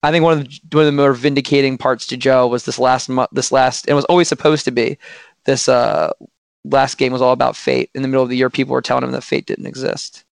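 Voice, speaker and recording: A man with a slightly hoarse voice, chatting casually on a podcast with many restarts and fillers. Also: mid-range voice, little pitch movement, fast talker, no echo.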